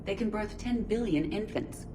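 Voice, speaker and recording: A woman playing a starship's artificial intelligence in a video game, measured, formal and clinically precise. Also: medium pitch, heavy background noise, natural voice. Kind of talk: video game character dialogue. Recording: loud music mixed under voice. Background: loud game music.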